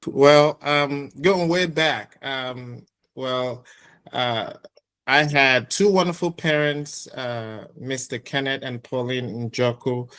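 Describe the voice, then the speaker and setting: A middle-aged man from Nigeria, a podcast guest telling his career story in a reflective, measured way with many fillers. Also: mid-range voice, fairly bright tone, clear, resonant tone. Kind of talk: conversational interview storytelling.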